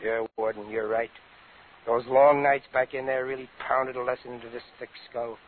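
A man's voice: medium pitch, little pitch movement, normal speed, lightly acted. Man playing a cocky old-time radio ex-con, tinny sound. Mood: feigned contrition. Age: 50s. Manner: cocky, slangy. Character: tough, wisecracking 51-year-old ex-convict crook. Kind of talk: 1950s radio drama acting and first-person narration.

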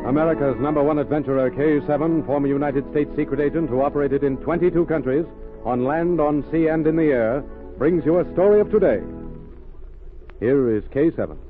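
A man's voice: a little high, brisk, over music. A man announcing and narrating a scripted radio drama in 1930s style. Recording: muffled phone-like 1930s radio recording.

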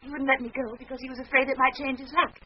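An actress playing a scheming femme fatale who feigns innocence, coy and evasive, in 1950s radio drama style. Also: female voice, high-pitched, level tone, racing, slightly rough. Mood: artless. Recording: dull, noisy old 1950s radio recording.

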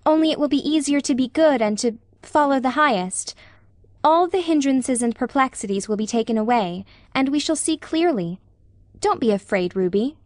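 Lady reading as a sweet earnest young woman in a storybook, high voice, gently reassuring. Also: lively intonation, moderate pace, no room sound, clean studio sound. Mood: earnest, consoling. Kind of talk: audiobook character dialogue.

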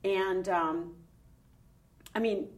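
Woman, middle-aged, interview guest, candid, halting, distant from mic. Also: medium pitch, steady tone. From Ireland. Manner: candid, searching, with fillers and restarts. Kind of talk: personal story in an interview.

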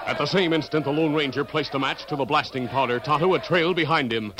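A man playing the dramatic narrator of a 1950s radio western adventure, with an American accent and deliberate storytelling delivery. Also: on the high side, background noise, close to an everyday voice. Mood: excited. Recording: old radio broadcast recording.

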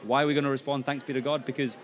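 A man's voice: mid-range voice, little pitch movement, racing. A man with a Scottish accent, a church planter, explaining in a discussion and asking himself rhetorical questions. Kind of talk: podcast discussion.

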